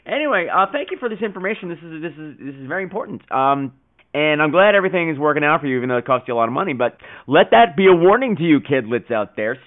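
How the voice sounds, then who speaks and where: A man's voice: a little high, narrow, tinny audio. A man hosting a podcast with casual commentary between listener calls, playfully chiding and given to dramatic emphasis. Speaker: podcast host.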